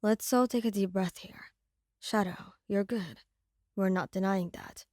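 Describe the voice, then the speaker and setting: An earnest young male mafia peacemaker, acted by a woman, speaks calmly but with intensity. The voice is mellow and slow, recorded dry for an audio roleplay. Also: medium pitch, no echo, close to an everyday voice. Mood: calm, placating. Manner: calm but intense, pleading.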